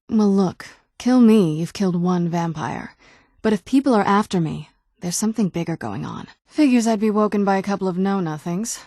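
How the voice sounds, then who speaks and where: A woman's voice: medium pitch, warm tone, dry recording, natural voice. A woman playing a youthful-seeming ancient vampire noblewoman in a video game, wry and guarded, with a dry conversational delivery. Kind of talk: video game character dialogue.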